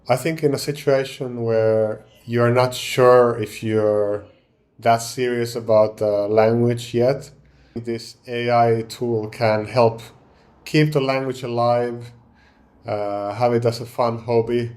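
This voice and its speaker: A man with a Finnish accent, a language teacher calmly explaining an app as he tries it, thinking aloud with pauses. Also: mid-range voice, clear, resonant tone, no echo. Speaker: language teacher and channel host. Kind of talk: explaining an app while trying it.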